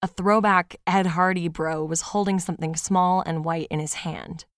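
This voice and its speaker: A woman's voice: on the low side, fairly even intonation, moderate pace, dry recording, natural voice. A woman playing a podcast host who reads out a fan's email in a casual, wry, sweary storytelling way for an audio drama. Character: podcast host reading a fan's confessional horror-comedy email aloud. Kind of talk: scripted audio drama monologue, conversational storytelling.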